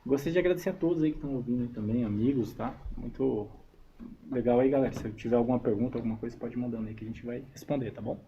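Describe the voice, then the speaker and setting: A man's voice: mid-range voice, very fast. A man from São Paulo state with a Brazilian accent, chatting casually as an interview guest and often adding little checking tags. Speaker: interview guest, army reservist and combatant. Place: radio studio. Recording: dull, noisy studio live stream.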